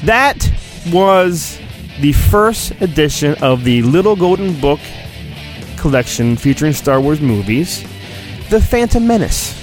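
Playful man with a higher-pitched voice. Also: sing-song, unhurried, faint music behind. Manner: playful, mock-dramatic. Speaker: podcast host reading a storybook. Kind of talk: dramatic storybook reading with casual asides.

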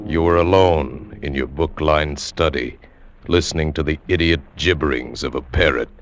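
A man with an extremely deep voice announces in an ominous, deliberate manner. It is theatrical 1940s radio style on a vintage recording, with clear music behind him.

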